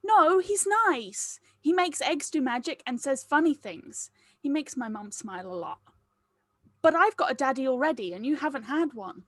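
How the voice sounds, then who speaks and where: A woman puts on an innocent little child for an audio drama read. The voice is fairly high and swings in pitch, earnest and blunt, asking simple questions. The recording is dry. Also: lively intonation, normal speed, fairly bright tone, no room sound. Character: curious, blunt, innocent small child building sandcastles. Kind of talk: acted script table read.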